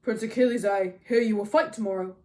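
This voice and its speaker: A woman voicing a proud, pompous king and army commander with a loud, formal, declamatory delivery, as in an audiobook. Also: mid-range voice, steady tone, a little fast, natural voice. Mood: awkward, loud.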